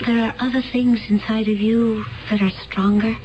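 A woman's voice: on the high side, little pitch movement, moderate pace, natural voice. An actress playing a fervent, troubled woman from another planet in a 1970s radio drama, speaking earnestly in formal phrases. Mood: calm, resolved. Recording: muffled, noisy 1970s radio broadcast.

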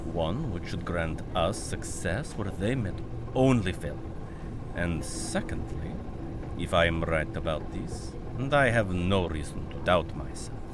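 An actor playing a confident, courteous lead scientist with a formal, grandly deliberate manner in an audio drama. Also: male voice, sits low, background noise.

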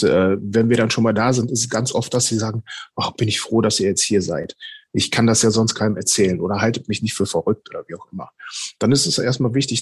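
Man explaining thoughtfully, slightly husky voice. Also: lowish voice. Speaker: interviewed amateur ghost hunter. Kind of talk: conversational explanation in an interview.

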